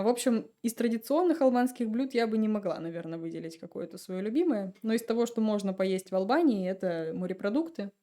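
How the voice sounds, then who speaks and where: Podcast guest: woman, mellow tone, easygoing chatty storyteller. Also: medium pitch. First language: Russian. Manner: relaxed, chatty storytelling with laughs. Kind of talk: casual chat.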